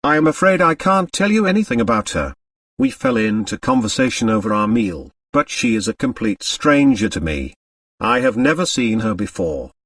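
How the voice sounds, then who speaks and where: A man's voice: medium pitch, very animated, moderate pace, a bit bright, dry recording, distant mic. A man voicing a reserved British woman traveller, polite, composed and guarded, in an audiobook. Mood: cool, guarded.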